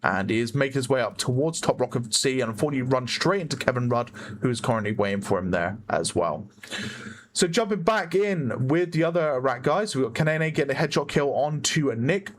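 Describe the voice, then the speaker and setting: A man with a hoarse voice giving chatty play-by-play commentary on a competitive online shooter match, often correcting himself. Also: mid-range voice, expressive, a little fast. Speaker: esports caster. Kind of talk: live game commentary.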